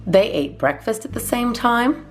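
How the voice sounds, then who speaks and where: Woman reading a storybook, very lively intonation. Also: fairly high pitch, unhurried, faint music behind. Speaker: storytime host reading a children's book aloud. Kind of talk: storybook narration for children.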